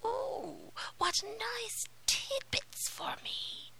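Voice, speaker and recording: A woman's voice: extremely high pitch, very slow, vocal fry, bright, forceful tone, in a whisper, pitched above the performer's own voice, exaggerated voice. A woman voicing a cruel, greedy old witch from a storybook, with a scolding, menacing manner. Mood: gloating. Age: older. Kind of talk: storybook character acting.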